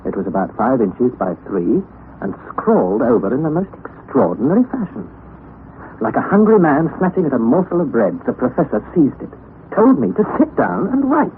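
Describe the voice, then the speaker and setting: An actor with an English accent narrating as an earnest young student nephew in a vintage radio drama. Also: male voice, medium pitch, wildly swooping pitch, normal speed. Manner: earnest, wondering storytelling. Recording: tinny lo-fi vintage radio broadcast with hiss.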